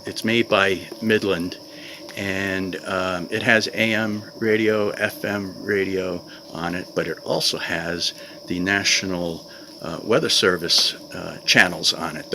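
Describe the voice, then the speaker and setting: A man's voice: low voice, measured pace. An older man talking casually to camera in a plain-spoken, conversational way, like a vlogger reviewing gear. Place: outdoors in a wooded clearing. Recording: noisy outdoor recording with insect ambience.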